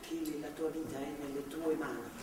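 Low-voiced devout motherly older woman, played by an actress. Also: fairly quick, lightly acted. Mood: earnest, firm. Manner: earnest, soothing, firm. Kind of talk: theatrical stage drama acting. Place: theatre stage, far microphone. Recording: echoey theatre hall, distant, noisy.

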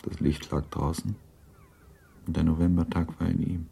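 A man's voice: low-pitched, level tone, normal speed, vocal fry, mellow, breathy edge, faint music behind. A man narrating a literary radio drama from a script in a sober, composed storytelling manner.

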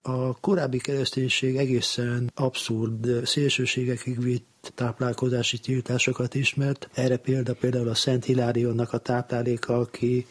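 A man's voice: medium pitch, level tone, few pauses, slightly rough. A male essayist speaks in a mid-range voice with very little melodic movement. He explains in a learned way, as an expert being interviewed.

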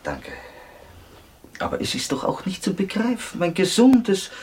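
A man's voice: high-pitched, wildly swooping pitch, a little slow, darkish tone, a little hiss or hum, heavily acted. An actor playing a talkative, troubled Viennese man in a radio drama, colloquial, rambling and hesitant. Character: talkative, troubled Viennese cousin of a stricken girl.

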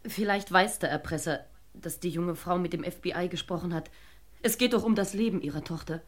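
A woman's voice: lowish voice, fairly quick, natural voice. An actress playing an anxious young mother being blackmailed in a German radio crime drama, hesitant and pleading. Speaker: actor.

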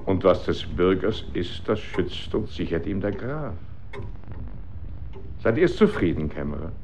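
Gravelly, lecherous old-world count. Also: male voice, sits low, very animated, unhurried, darkish tone, a little hiss or hum, exaggerated voice. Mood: pompous, satisfied. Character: lecherous, self-satisfied count. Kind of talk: German radio drama acting.

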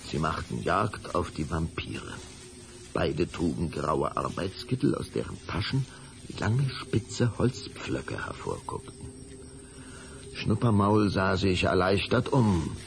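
A man narrating a German children's radio play as a measured, suspenseful storyteller. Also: sits low, very animated, creaky, slight background noise, heavily acted.

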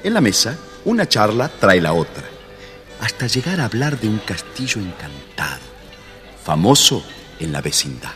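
Deep-voiced Argentine storyteller, lively and echoing. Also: male voice, moderate pace. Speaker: storybook narrator. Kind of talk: scripted children's story narration. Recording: long reverb, faint music behind.

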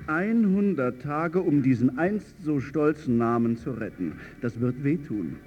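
A man's voice: on the high side, expressive, a little slow, darkish tone, lo-fi sound, faint music behind. An actor playing a hard-nosed, middle-aged club chairman in a radio drama, talking bluntly and with command. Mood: stern, threatening.

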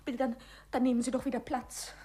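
A woman's voice: a little high, flat delivery, brisk, dark tone, breathy edge. An actress in a 1950s radio play playing a naive, sheltered young lady who speaks in a hesitant, fluttery way, repeating her words. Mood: awed, flustered.

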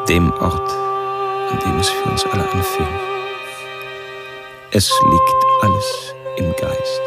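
An actor playing a philosophical serial killer who delivers a measured, literary inner monologue in an audio drama. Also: male voice, very high-pitched, heavily acted. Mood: darkly rapturous. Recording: prominent music under the voice.

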